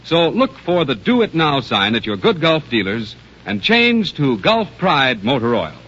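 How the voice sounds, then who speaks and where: A man's voice: on the high side, very animated, a little slow, a bit bright, slight background noise. An American man reading scripted announcements and commercials as a 1930s radio announcer. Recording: dull 1930s radio recording with music.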